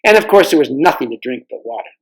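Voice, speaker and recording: A man's voice: on the high side, sing-song, quick pace. A man reading a storybook aloud as narrator. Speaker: volunteer storybook reader.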